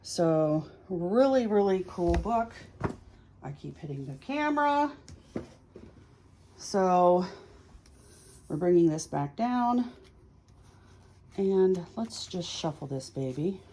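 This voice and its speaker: Slow-talking woman reading tarot, lower voice. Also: lively intonation, mellow, a little hiss or hum. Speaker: tarot reader hosting a video series. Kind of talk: casual chatty card reading, thinking aloud to viewers.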